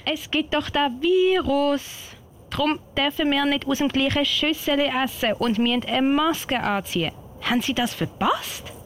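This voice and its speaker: A woman's voice: sits high, unhurried, fairly bright tone. A woman playing a young, earnest girl scout in an amateur radio play, chatting with a Swiss German accent. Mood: concerned, insistent. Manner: chatty, thinking aloud. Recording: slightly noisy amateur recording.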